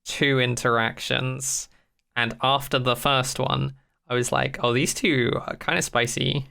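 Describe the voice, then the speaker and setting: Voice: mid-range voice, fairly even intonation, a little slow, vocal fry, sharp tone. A wry, opinionated podcast co-host chatting casually in a teasing, informal way. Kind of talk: casual chat.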